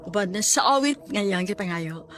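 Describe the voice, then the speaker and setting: A woman's voice: medium pitch, expressive, a little fast, a bit bright, a little hiss or hum. A woman with a Cebuano Filipino accent, announcing a radio drama programme from a script.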